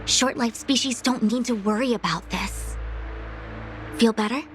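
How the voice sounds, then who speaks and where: A woman's voice: on the high side, measured pace, soft background music, close to an everyday voice. A woman voicing a stern, scholarly female commander in a video game, speaking in a formal, self-assured, didactic way. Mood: grave lecturing.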